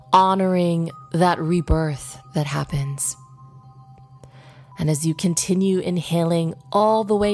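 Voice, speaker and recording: A woman leading a guided meditation in an unhurried way, pausing between short phrases. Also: on the low side, darkish tone.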